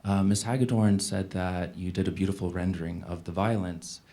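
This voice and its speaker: A man posing an unscripted question at a public event in a measured, formal, polite way. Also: low-pitched, fairly even intonation, mellow. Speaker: audience member asking a question.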